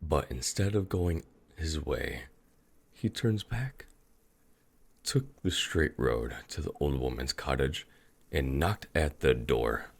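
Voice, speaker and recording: A man narrating a fairy tale as a storyteller, in a measured, atmospheric manner. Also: rumbling low, animated, vocal fry, slight background noise.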